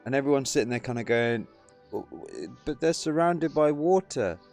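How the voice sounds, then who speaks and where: A man's voice: medium pitch, expressive, normal speed, creaky, darkish tone, faint music behind. Sardonic man on a podcast. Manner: sardonic, swearing, reads chat comments aloud. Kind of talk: casual group chat.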